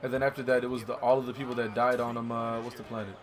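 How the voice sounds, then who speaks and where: A man's voice: medium pitch, flat delivery, racing. A man talking films casually with a friend, slangy and full of swearing. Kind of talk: casual excited movie discussion with a friend.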